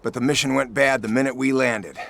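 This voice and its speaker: An actor playing a cocky, protective hired soldier in a video game cutscene, with a slightly husky voice. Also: male voice, mid-range voice, fairly even intonation, brisk, a bit bright. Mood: grim.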